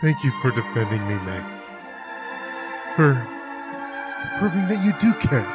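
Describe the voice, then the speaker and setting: A man acting an earnest, devoted gentleman suitor in a 1940s-style radio drama, hesitant and heartfelt. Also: medium pitch, very animated, measured pace, music in the background. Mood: tender.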